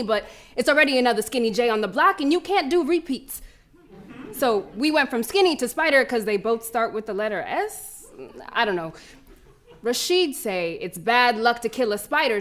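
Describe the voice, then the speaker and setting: A woman's voice: on the high side, a bit bright. A woman playing a fierce, cocky preteen American girl runner in a live theatrical monologue, telling her story in brash, slangy street talk. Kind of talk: first-person theatrical monologue performed live.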